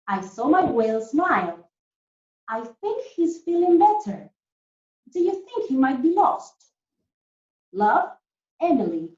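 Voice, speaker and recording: A woman teacher reading a story to young children in a deliberate, animated way, often putting questions to them. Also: high voice. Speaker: primary school teacher reading a story to pupils. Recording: roomy, dull lo-fi sound.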